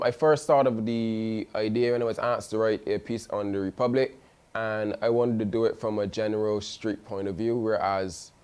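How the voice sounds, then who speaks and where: A man with a Barbadian accent who is a poet, casually explaining the idea behind his poem in a relaxed way, with hesitant fillers. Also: fairly low pitch, smooth.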